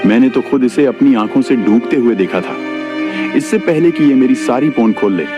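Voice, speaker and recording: A man's voice: medium pitch, normal speed, dull audio, music in the background, natural voice. A man playing a greedy, scheming village labourer in a moral story cartoon, sly and deceitful. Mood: startled, uneasy. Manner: sly, falsely reassuring.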